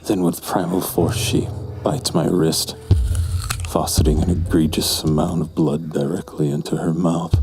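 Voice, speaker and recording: Man acting a very deep, gravelly brooding seducer. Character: doomed callous male seducer recounting a dark tryst. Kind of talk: brooding first-person horror audio drama narration. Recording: prominent music under the voice.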